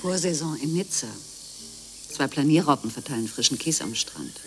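A man's voice: a little high, expressive, moderate pace. A man narrating as a sober reporter in a radio play, describing scenes in a matter-of-fact way. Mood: sober, observant. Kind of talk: scripted documentary-style radio narration.

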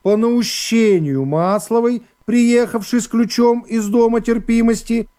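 Guy acting a stuffy court secretary reading charges for radio, high soft voice, drawn out and formal. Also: unhurried. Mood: solemn, official. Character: male court secretary droning out a formal indictment. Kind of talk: radio play character acting.

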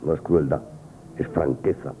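An actor playing a stern, proud shopkeeper, blunt and scolding, in a Spanish radio play. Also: male voice, a bass voice, fairly even intonation, normal speed, mellow. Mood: cold, reproachful. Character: stern, wounded, proud shopkeeper, father of a daughter. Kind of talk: Spanish radio theatre acting, 1970s. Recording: muffled, noisy old radio broadcast.

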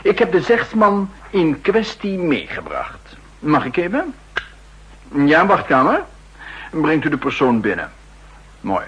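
An actor playing a theatrical, scheming spy chief in a 1960s radio play, with a husky, insinuating delivery. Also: male voice, a little high, huge pitch swings, takes it slowly, long pauses, a bit bright, dull audio, exaggerated voice. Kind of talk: 1960s radio drama acting.

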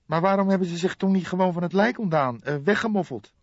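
A man's voice: high-pitched, quick pace, no echo, highs cut off, voice pushed up. A man voicing an eager, inquisitive young police detective in an audiobook. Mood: puzzled, inquisitive.

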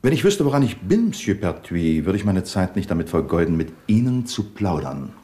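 An actor playing a calm police inspector who asks measured, dryly probing questions in a 1970s radio drama. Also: male voice, deep voice.

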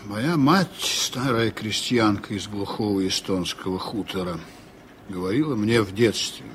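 An actor playing a seasoned, honest sea captain in a reflective, measured way, in a 1980s Soviet radio drama. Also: male voice, fairly low pitch. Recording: noisy old radio play recording.

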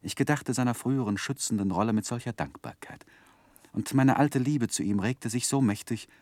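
An actor playing an earnest, sensitive man recalling his youth in a 1960s German radio drama, speaking in a measured, reflective literary manner. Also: medium pitch, darkish tone, no echo, natural voice. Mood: absorbed, nostalgic.

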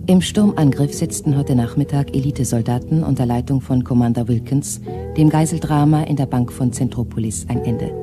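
A man's voice: medium pitch, a little fast, over music, lightly acted. A man playing a formal radio newsreader. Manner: flat, formal reading. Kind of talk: news announcement in a radio play.

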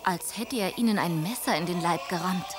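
A woman's voice: fairly low pitch, fairly quick, over music. A woman narrating a radio drama in a storytelling manner.

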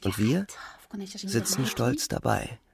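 An actor playing a bitter, world-weary young front-line soldier narrating a radio drama in a measured, grim, gravelly way. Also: male voice, medium pitch, big pitch swings, a crowd in the background, heavily acted. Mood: wistful, restrained excitement. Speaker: first-person narrator.

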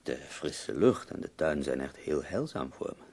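An actor playing an ailing, melancholy but wry poet in a radio play, his voice gravelly, husky and weary. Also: a bass voice, big pitch swings, measured pace, darkish tone, heavily acted. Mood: wistful.

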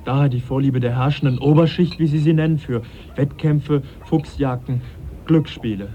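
An actor playing a thoughtful young gentleman naturalist in a German radio play, courteous and measured with a quiet irony. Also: medium pitch, lightly acted. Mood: measured, ironic. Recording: dull, noisy 1980s radio recording.

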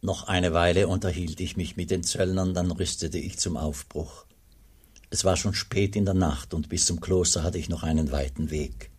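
A deep husky old monk narrating. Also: male voice, steady tone, moderate pace, darkish tone. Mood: calm. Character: Father Eugen, older monk, looking back. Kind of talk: radio play narration.

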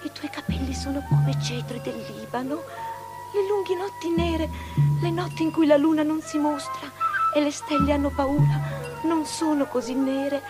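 A very high female voice over loud music, an actress as an obsessive young princess. She declaims like poetry, sensual and imperious, lingering on repeated words in theatrical radio drama. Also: normal speed, lightly acted. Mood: obsessive desire. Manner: incantatory, lingering repetitions.

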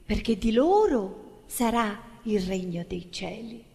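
Actress for satirical stage monologue, declamatory and sardonic, widely varied pitch, long hall reverb. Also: female voice, sing-song, slow pace, vocal fry, a little mellow.

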